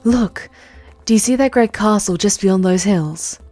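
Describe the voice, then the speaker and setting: A woman's voice: medium pitch, warm tone, dry recording, soft background music, natural voice. A woman playing a regal, world-weary demon queen who guides a wanderer in a formal, intimate ASMR roleplay, often sinking towards a whisper. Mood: calm, reverent. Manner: measured, formal, dropping into breathy whispers.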